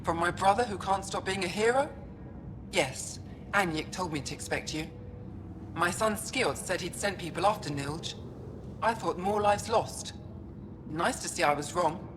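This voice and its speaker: An actress playing a brisk, practical alien mother and code-breaker in a video game, dry and businesslike. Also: on the low side, background noise, close to an everyday voice. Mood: dry, relieved.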